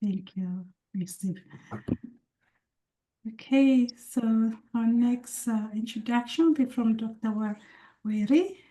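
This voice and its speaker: A woman moderating a webinar over a video call, introducing each speaker gently and with hesitant fillers. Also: fairly high pitch, slow, a little hiss or hum, poor audio.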